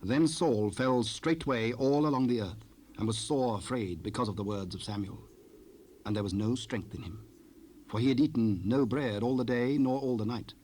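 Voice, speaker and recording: A man's voice: medium pitch, moderate pace, darkish tone. Solemn male scripture narrator, faint tape noise. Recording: faintly noisy 1970s cassette rip.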